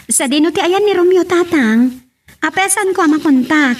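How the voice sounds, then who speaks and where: A woman's voice: extremely high pitch, lively intonation, moderate pace, over loud music. A woman acting a devoted, earnest young wife in an Ilocano love-story audio drama. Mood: concerned.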